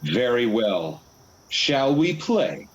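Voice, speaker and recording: An actor playing a noble, earnest young king in a fantasy radio play, measured and solemn. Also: mid-range voice, sing-song, highs cut off, over music. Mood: resolute.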